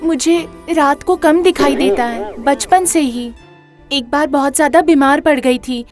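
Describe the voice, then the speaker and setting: A woman's voice: sits high, unhurried, music in the background. A woman voicing a young new bride in a cartoon story, earnest and pleading as she explains herself at length. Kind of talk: animated cartoon dubbing.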